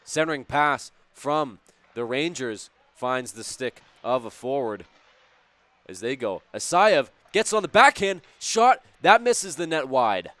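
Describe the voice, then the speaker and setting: Brief: male hockey play-by-play caller, mid-range voice, a bit raspy, chatty, spikes on scoring plays. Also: medium pitch, expressive, slow, a bit bright, no room sound. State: slightly hoarse, raspy voice. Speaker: play-by-play commentator. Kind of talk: live hockey play-by-play commentary.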